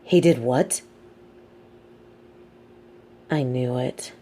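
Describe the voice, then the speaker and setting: A woman playing a sardonic, scheming supervillain in a scripted audio roleplay, with a theatrical, measured, wry delivery and grudging sympathy. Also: rumbling low, dark tone, exaggerated voice. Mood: probing, intrigued. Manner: theatrical, measured, wry, drops into muttered asides.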